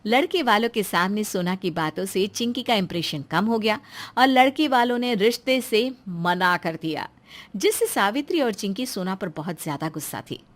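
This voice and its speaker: A woman's voice: medium pitch, expressive, normal speed. A woman narrates a moral story. She reads scripted lines as the storyteller, setting out the scenes between the dialogue.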